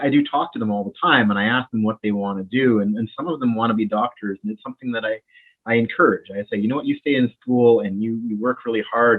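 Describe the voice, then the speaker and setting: A man who grew up in Canada after moving from the Bahamas, speaking with a Winnipeg accent as a doctor in a casual interview, friendly and animated, often repeating words as he starts a thought. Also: fairly low pitch. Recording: tinny phone-like line.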